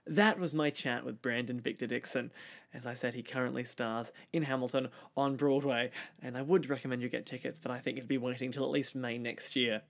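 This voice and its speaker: A man's voice: mid-range voice, steady tone, fairly quick, creaky. A man hosting an interview podcast, speaking politely and courteously in a conversational way. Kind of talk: conversational interviewing and show presenting.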